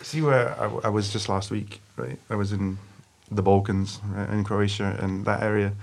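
A man's voice: lowish voice, little pitch movement, moderate pace, gravelly. A man from Australia, chatting casually and explaining things. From Australia, grew up in Adelaide.

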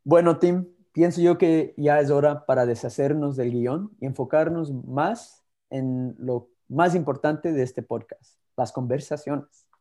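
A man in his twenties co-hosting a podcast, earnest and steady, now and then restarting a word. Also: on the high side, measured pace. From born in Ecuador, raised in California. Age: 20s. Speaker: podcast co-host. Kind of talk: scripted podcast intro and casual chat.

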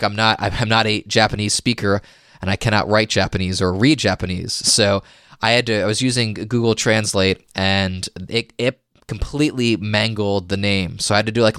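A man's voice: on the low side, a bit bright, clean tone, no echo, studio quality. A man hosting a podcast, reading a scripted history segment with casual asides. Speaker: podcast host.

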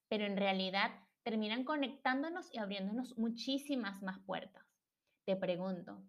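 A woman hosting a podcast, reading a formal introduction and welcoming her guest. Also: on the high side. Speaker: podcast host. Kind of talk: scripted intro then interview hosting.

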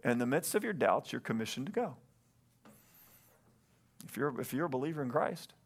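A man's voice: mid-range voice, big pitch swings, moderate pace. A man pastoring a church, preaching earnestly from the stage, often calling out for an amen and repeating phrases as he goes. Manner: earnest, calls for amens, repeats himself. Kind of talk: sermon. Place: church sanctuary. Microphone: stage microphone.

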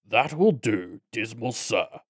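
A man voicing a pompous, menacing goblin boss in an audiobook, with wordy, deliberate speech. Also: fairly low pitch, expressive, slightly rough, no room sound, studio quality, heavily acted. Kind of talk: audiobook character acting.

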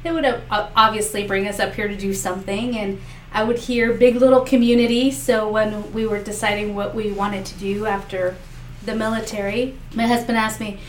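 A woman's voice: medium pitch, fairly even intonation, moderate pace. Earnest woman candidate in an echoey room. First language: Spanish. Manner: earnest, talks in restarts with many fillers. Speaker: political candidate. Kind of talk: interview answers. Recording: echoey room, mic far off, faint noise.

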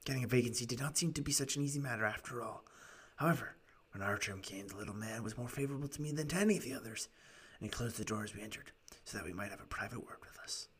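A man voicing an earnest, wordy Victorian London shopkeeper in a raspy voice, telling his tale as in a storybook. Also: mid-range voice, fast talker, hardly any pauses, a bit bright. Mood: earnest recounting.

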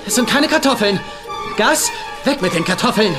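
Young fake psychic, cocky, theatrical, playful, dubbed crime comedy, background music. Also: male voice, sits high, animated, moderate pace. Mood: mock-mystical trance. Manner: playful, mock-dramatic.

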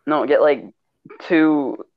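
A man co-hosting a casual podcast over online voice chat while gaming, joking and talking in quick rambling bursts. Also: fairly high pitch, fairly even intonation, no room sound, dull audio. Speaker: podcast co-host.

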